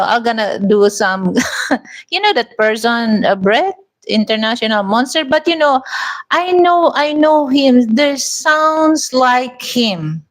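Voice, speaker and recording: A woman's voice: on the high side, rough recording. A woman with a Filipino accent talking casually to her viewers in a vlog, rambling with many restarts and "you know"s. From Philippines. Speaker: vlogger.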